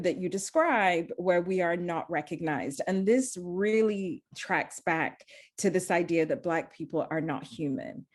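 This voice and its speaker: A woman from Zambia, speaking earnestly as a panelist and often restarting her sentences. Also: on the low side. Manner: earnest, searching, many restarts. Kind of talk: panel discussion.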